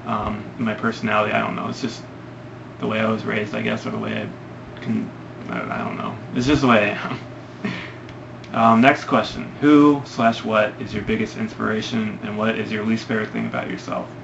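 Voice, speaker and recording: Young guy from Ohio doing a casual Q&A, rambling with ums; gravelly voice on a muffled, hissy recording. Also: on the low side, level tone, normal speed. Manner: hesitant, rambling with many ums. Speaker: vlogger answering questions. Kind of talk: casual Q&A vlog. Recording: lo-fi, noisy home recording.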